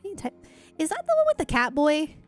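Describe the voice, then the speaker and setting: High-voiced chatty woman livestreamer. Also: wildly swooping pitch, fast, faint music behind. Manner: rambling, effusive, self-deprecating. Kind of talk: casual chatty livestream, reading and answering chat.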